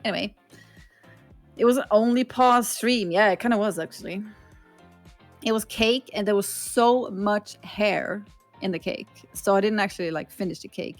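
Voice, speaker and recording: A woman's voice: medium pitch, moderate pace, faint music behind. A woman from Sweden with a Swedish accent, a streamer being interviewed, rambling casually with lots of fillers and giggles. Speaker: streamer guest.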